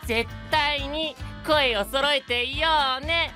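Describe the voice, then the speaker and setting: An actor playing a courteous but sly and teasing young knight with mock-sweet melodrama, in an anime audio drama. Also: male voice, very high voice, slow pace, sharp tone, soft background music, full character voice.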